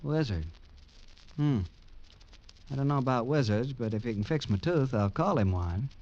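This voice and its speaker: Folksy farmer played by a man, hesitant, mid-pitched, dull old radio sound. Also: expressive, normal speed, background noise, lightly acted. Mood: folksy plain. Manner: hesitant, folksy, full of uh's. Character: plain, good-natured male country farmer with toothache. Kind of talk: 1940s radio acting.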